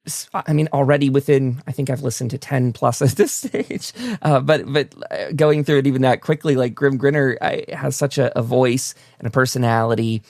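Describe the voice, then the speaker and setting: A man hosting a casual interview, enthusiastic and encouraging, with halting, stammered starts to his sentences. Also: medium pitch, fairly even intonation, gravelly, darkish tone, no echo, clean studio sound. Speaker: talk show host, interviewer.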